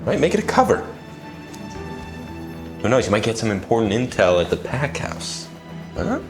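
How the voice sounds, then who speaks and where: A man's voice: on the low side, very animated, normal speed. A young man acting a loyal, persuasive werewolf second-in-command in an audio drama, talking casually. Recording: faint music bed behind the voice.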